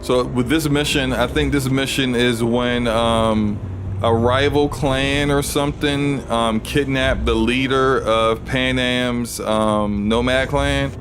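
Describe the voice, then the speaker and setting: Casting: male streamer, deep voice, loose rambling game talk over a noisy game track. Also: takes it slowly. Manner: rambling, relaxed, frequent restarts. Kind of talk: casual gaming livestream commentary.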